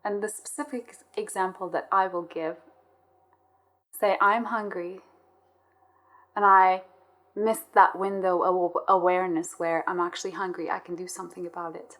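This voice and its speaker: A woman's voice: fairly low pitch, steady tone, moderate pace, a bit bright. A woman interviewing on a podcast in a conversational style, sharing personal examples.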